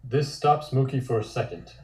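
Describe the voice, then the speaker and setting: A man's voice: mid-range voice, normal speed, a little mellow. A man reading scripted comic narration and stage directions in an echoey room.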